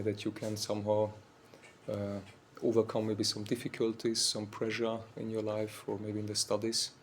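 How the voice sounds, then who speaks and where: A man in his forties, a monk from Austria, giving an informal university talk in English with an Austrian German accent. His voice is slightly weak, and he speaks haltingly, searching for words and often saying "like.". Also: on the low side, a little hiss or hum. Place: university lecture room.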